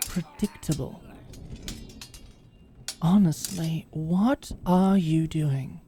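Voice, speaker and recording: A woman's voice: sits low, drawn-out words, dark tone, soft background music. A woman playing a sardonic, defiant lady knight in a medieval fantasy audio drama, with theatrical, formal phrasing. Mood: taunting, defiant. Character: defiant, sardonic lady knight protecting her princess lover.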